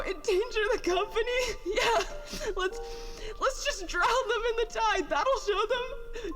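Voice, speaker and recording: A woman playing a self-loathing young engineer in an audio drama, rambling and halting through tearful, sarcastic despair. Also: very high voice. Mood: bitter, tearful. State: crying, voice breaking with sobs. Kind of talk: audio drama monologue, recorded log. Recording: long reverb, music underneath.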